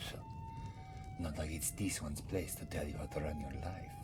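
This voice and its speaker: A man voicing a very old, wry, courteous cat-folk former priest in a fantasy roleplay ASMR, softly, often slipping into a whisper, musing about himself in the third person. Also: very deep voice, fairly even intonation, moderate pace. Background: music.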